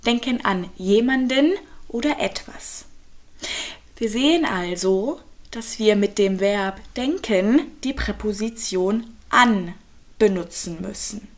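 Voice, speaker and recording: A young woman, a native speaker and German teacher, calmly explaining grammar in an online lesson with worked examples. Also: medium pitch. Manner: slow, clear, patient explaining with examples.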